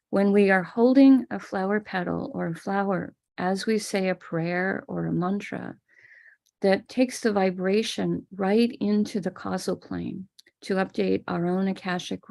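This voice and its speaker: Gravelly, mellow-voiced American woman. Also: medium pitch. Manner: calm, explanatory, step-by-step. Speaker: spiritual teacher leading a ritual. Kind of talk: live-streamed ritual instruction. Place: home room with a small altar table.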